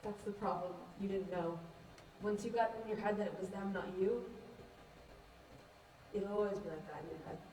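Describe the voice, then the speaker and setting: A woman's voice: medium pitch, fairly even intonation, moderate pace, long pauses, mellow, natural voice. Young woman playing a frank teenage girl, echoey. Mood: firm. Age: young adult. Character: frank, reasoning teenage girl who counsels others. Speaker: student actor in a one-act play. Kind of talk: student stage play acting. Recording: echoey, distant mic, clear noise.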